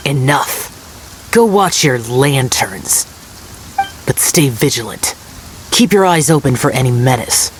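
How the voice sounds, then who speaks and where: Slow, brooding young immortal warrior. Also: male voice, medium pitch, expressive, a little hiss or hum, poor audio. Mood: solemn, protective. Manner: solemn, formal, measured, aloof. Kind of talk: anime video game voice acting.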